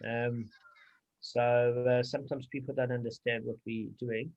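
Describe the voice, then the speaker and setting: A man leading a study group, reciting blessings and reading a translation slowly and deliberately. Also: mid-range voice, level tone, a little mellow, lo-fi sound.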